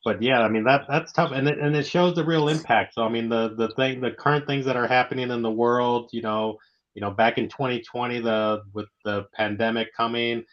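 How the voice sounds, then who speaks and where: A man hosting a casual interview, speaking informally and haltingly, often repeating his words. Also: medium pitch, fairly even intonation. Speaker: interviewer, show host. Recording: dull lo-fi online audio.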